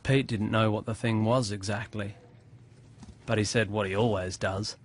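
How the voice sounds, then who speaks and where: A man narrating an animated short film as a laid-back young man, recalling the past in an understated, conversational, wry way. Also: fairly low pitch, moderate pace, a little hiss or hum, lightly acted.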